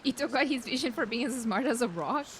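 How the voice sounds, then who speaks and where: A woman's voice: a little high, animated, fast talker. A woman streamer giving casual, blunt, off-the-cuff reactions while playing a video game. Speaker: gaming streamer. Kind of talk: casual let's play reaction commentary.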